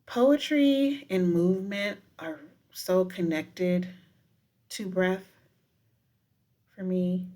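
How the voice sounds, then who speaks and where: A woman guiding a meditation in a deliberate, unhurried manner with frequent pauses. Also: lowish voice, mellow. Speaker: professor guiding a breathing meditation. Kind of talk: guided meditation with spoken poetry.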